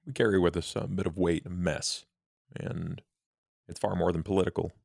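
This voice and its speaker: A man performs a courtly, scheming vampire for a tabletop game. The voice sits very low with a heavy gravelly creak, recorded close and dry. The phrasing is formal, deliberate and world-weary. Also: steady tone, a little mellow, no room sound. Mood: measured, scheming.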